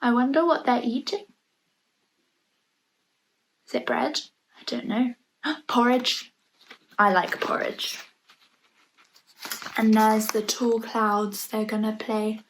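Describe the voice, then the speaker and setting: High-voiced, unhurried woman reading a storybook. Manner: expressive, playful, addresses the listener with questions. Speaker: storybook reader for young children. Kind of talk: read-aloud storytime with chatty asides about the pictures. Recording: noticeable background noise, home online recording.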